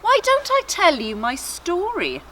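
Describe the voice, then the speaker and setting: A woman's voice: very high voice, wildly swooping pitch, a little slow, voice pushed up, exaggerated voice. A woman voicing a talking female donkey in a children's story, worldly, wry and self-assured. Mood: gracious, inviting. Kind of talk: children's storybook character reading. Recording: faint outdoor garden noise.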